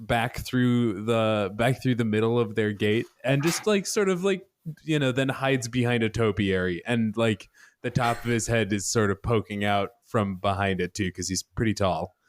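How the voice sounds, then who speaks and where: A man's voice: medium pitch, moderate pace, slight background noise. A man casually improvising narration as a player in a tabletop role-playing game.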